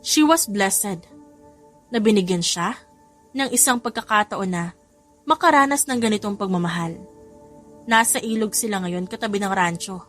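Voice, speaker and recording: A woman's voice: mid-range voice, normal speed, long pauses, fairly bright tone. A woman with a Filipino accent giving a scripted, dramatic story narration, with music behind her.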